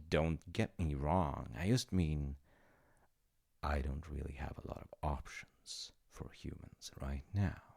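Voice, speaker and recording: A man's voice: extremely low pitch, creaky, mellow, exaggerated voice. A man playing an awkward, chatty demigod of reincarnation in a comedic audio roleplay, stammering and apologetic as he talks to the listener. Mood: coaxing. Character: flustered, chatty male demigod in charge of reincarnation.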